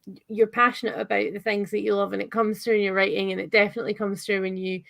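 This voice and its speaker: A woman's voice: medium pitch, level tone, quick pace, vocal fry, fairly bright tone, no echo, studio quality. A woman hosting a casual live book chat, interviewing an author in a gushing, playful, bantering way.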